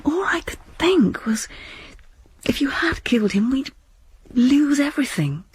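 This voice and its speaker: An actress playing a distraught, fearful wife who speaks in breathless whispers. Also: female voice, sits high, sing-song, measured pace. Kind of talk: radio drama acting.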